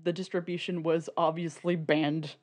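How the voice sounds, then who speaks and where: A woman with a slightly low voice talks casually as a podcast co-host. Her phrasing is informal and loose, sprinkled with "like" as she works through a point in discussion. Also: little pitch movement, normal speed, a little mellow. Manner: informal, peppers talk with "like" and laughs easily.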